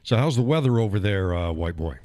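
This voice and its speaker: Man, very low voice, dark tone, phlegmy, rambling casual host, dry close mic. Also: animated, fairly quick, no echo. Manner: rambling, chuckling, thinks aloud with many fillers. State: phlegmy, clearing his throat. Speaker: live stream host. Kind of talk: casual chat reading viewer comments.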